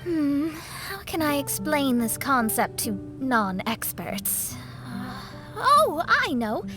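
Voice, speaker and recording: High-voiced, proud young astrologer. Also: female voice, very animated, takes it slowly, music in the background, exaggerated voice. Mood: explaining. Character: proud young female astrologer, earnest and guarded. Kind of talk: anime-style video game voice acting.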